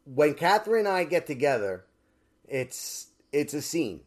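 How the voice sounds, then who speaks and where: A man's voice: fairly high pitch, big pitch swings, measured pace. A young man with a Brooklyn accent, an actor chatting as a guest with rambling fillers and restarts. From Brooklyn, New York. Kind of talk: casual interview chat.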